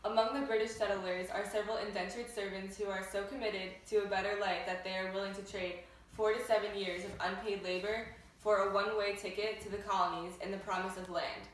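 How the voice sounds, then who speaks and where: A woman's voice: medium pitch, steady tone, brisk, close to an everyday voice. Teen girl as news anchor, very echoey room. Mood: formal. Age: teenager. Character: formal female studio news anchor in a skit. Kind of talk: scripted newscast reading. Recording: very echoey room, distant mic, faint noise.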